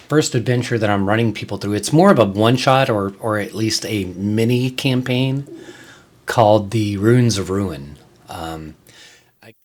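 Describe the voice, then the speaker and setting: A man hosting his own channel, talking casually to viewers in an informal, explanatory and somewhat halting way. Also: on the low side. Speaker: host of a channel on music reviews and tabletop games.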